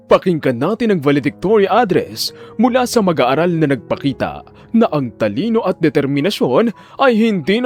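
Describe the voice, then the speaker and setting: A man's voice: high-pitched, pitched above the performer's own voice, full character voice. A man voicing a formal school ceremony announcer in an audio drama, ceremonial and emphatic.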